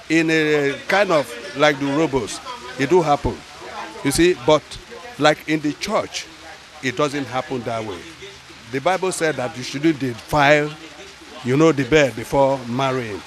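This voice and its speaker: A man's voice: a little high, measured pace, low-quality recording. An older man with a Nigerian accent, answering a street interview in a rambling way with pidgin phrases, into a handheld mic outdoors. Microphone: handheld mic held by interviewer.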